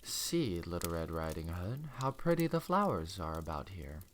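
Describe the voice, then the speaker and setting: A man voicing a sly, wicked storybook wolf with a soft, courteous, coaxing manner. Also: deep voice, big pitch swings, normal speed, slight background noise.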